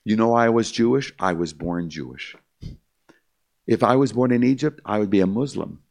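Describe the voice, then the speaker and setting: An older man preaching his testimony with a deep, low voice. His delivery is emphatic and repetitive as he keeps calling the listeners "my friend." There's a gravelly crackle on his words. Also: moderate pace, darkish tone. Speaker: concert pianist giving testimony. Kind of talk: evangelistic testimony speech to a live audience.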